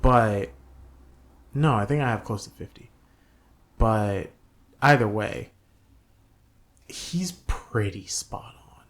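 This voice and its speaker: A man co-hosting a casual podcast chat, speaking excitably and repeating phrases for emphasis. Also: on the low side, slow, pauses often. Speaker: podcast co-host. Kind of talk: casual chat about games and movies.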